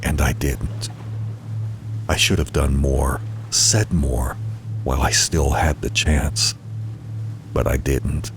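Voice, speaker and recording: A man's voice: very deep voice, little pitch movement, takes it slowly, pauses often, faint music behind. A man narrating a scripted first-person horror story in a somber, suspenseful, dramatic manner.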